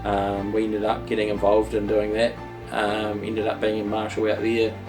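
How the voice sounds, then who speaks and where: A man's voice: fairly low pitch, monotone. A man, hesitantly recalling his path into motorsport in a documentary interview. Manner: halting, many ums, plain speech. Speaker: drift driver interviewee. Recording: distant mic with music bed. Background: guitar music.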